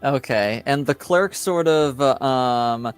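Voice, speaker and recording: Slow, halting male game master. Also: medium pitch, clean tone, faint music behind. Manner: halting, casual, searching for words. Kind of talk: improvised tabletop game narration.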